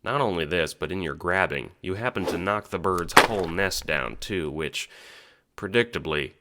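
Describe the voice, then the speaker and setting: A man's voice: low-pitched, lively intonation, moderate pace, very noisy. A man narrating a second-person audio drama in a sardonic, sweary manner.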